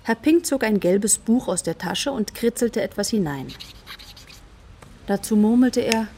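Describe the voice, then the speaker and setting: A woman's voice: fairly high pitch, expressive, unhurried, dark tone, a little hiss or hum. A woman narrator reading a scripted children's story for a radio play.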